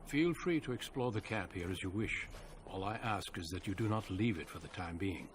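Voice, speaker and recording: An actor playing a grave, seasoned commander of a warrior order in a fantasy game, speaking in a formal, measured, solemn way. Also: male voice, low-pitched, animated, a little hiss or hum. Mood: grave, resolute. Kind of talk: fantasy game dialogue and scripted narration. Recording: orchestral game music behind voice. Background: music.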